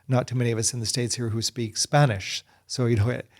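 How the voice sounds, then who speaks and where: Earnest man with a gravelly voice. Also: medium pitch, level tone, fast. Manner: earnest, frequent restarts and repeated words. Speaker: guest, Bible society secretary. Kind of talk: conversational interview answers.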